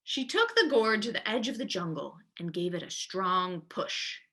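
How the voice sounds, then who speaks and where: A woman's voice: mid-range voice, animated, moderate pace, bright, forceful tone. A woman reading a children's story aloud expressively, as a librarian does at storytime.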